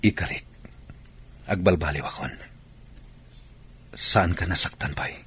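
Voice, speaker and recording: A man acting in a radio drama as a possessive, remorseful husband, earnest and insistent, with a deep raspy voice. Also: measured pace, a bit bright, full character voice. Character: possessive, remorseful husband pleading with his wife.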